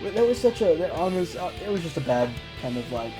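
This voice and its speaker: A woman's voice: fairly low pitch, big pitch swings, fairly quick. A woman hosting a gaming show, chatting casually with playful asides and reading a visual novel aloud. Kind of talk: casual let's-play chat and dramatic read-aloud of a visual novel. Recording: guitar game music behind the voice. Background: guitar game music.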